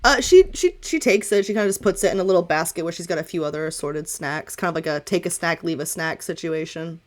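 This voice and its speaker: Informal, low-pitched woman game master. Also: quick pace. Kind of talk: casual tabletop roleplay narration.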